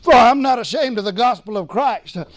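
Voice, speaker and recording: A man preaching a sermon as pastor, hoarse from losing his voice, emphatic and calling for responses. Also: extremely high pitch, fairly quick, no room sound. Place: church sanctuary.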